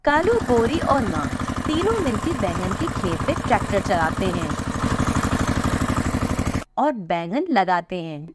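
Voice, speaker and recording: A woman's voice: medium pitch, big pitch swings, measured pace, long pauses, very noisy. A woman narrating a scripted children's moral story.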